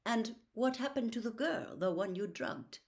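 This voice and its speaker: A woman's voice: on the high side, animated, moderate pace, gravelly. A woman voicing a naive young boy in a storybook, plain-spoken and questioning. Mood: challenging.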